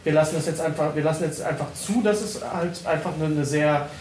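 A man's voice: a little high, fairly quick, darkish tone. A man asking long, informal questions at a panel, repeating words as he builds them. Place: indoor convention hall, live event. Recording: echoey, noisy room, far from mic.

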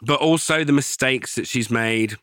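A man's voice: medium pitch, normal speed, no room sound, studio quality. A man hosting a podcast, introducing his guest in a jokey, chatty way and talking over a video call. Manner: warm, jokey, apologetic asides. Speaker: podcast host.

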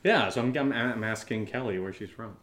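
American male co-host, lowish pitch, casual unscripted chat, quick to laugh. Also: a bit bright. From Connecticut, United States. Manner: laughs easily, loose and joking. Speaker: podcast co-host and audio drama maker.

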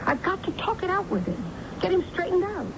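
A woman's voice: extremely high pitch, sing-song. Commanding middle-aged American mother, muffled radio drama. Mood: pleading, hurt. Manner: brisk, commanding, emotional. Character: hard-driving businesswoman and controlling, wounded mother. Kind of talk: 1970s radio drama acting. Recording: muffled, noisy old radio broadcast recording.

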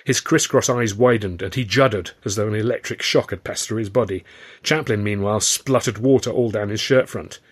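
Low, husky male storyteller. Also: a little fast. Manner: wry, literary. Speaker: author and audiobook narrator. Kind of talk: scripted first-person story narration.

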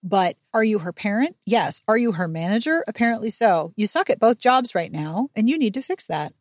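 A woman hosting a podcast, giving a chatty book recap with animated, sarcastic and sweary commentary. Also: mid-range voice, a little fast, no echo, clean studio sound. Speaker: podcast host.

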